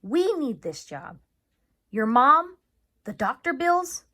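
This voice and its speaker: A woman reading a storybook aloud, voicing a worried, gentle working father who speaks earnestly. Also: sits high, very animated, slow, pitched above the performer's own voice, heavily acted. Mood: worried, resigned.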